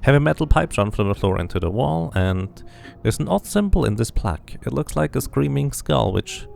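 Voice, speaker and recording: A man's voice: mid-range voice, big pitch swings, normal speed, vocal fry, a little mellow. A man streaming a video game, reading its text aloud like a narrator and muttering casual asides to himself.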